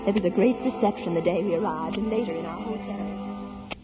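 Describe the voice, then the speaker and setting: A woman's voice: medium pitch, fairly quick, natural voice. Weary female opera star, played by an actress, melancholy and wistful, 1940s radio drama with music under, narrow-band sound. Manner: subdued, wistful. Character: weary opera diva recalling her past, melancholy, listless. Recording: tinny 1940s radio broadcast, music behind.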